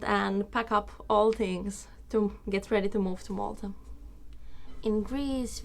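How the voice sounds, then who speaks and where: Finnish-accented woman, twenties, creaky voice, casual vlog storytelling, mid-range pitch. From Finland. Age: 20s. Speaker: vlogger. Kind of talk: casual personal storytelling to camera. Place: small room indoors.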